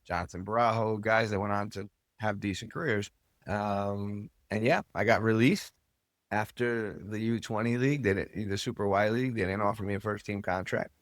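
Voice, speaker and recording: Low-voiced American man telling stories casually. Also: fairly even intonation, moderate pace, dry recording, studio quality. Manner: candid, colloquial, swears casually. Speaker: podcast guest, soccer club owner and coach. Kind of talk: casual storytelling in an interview.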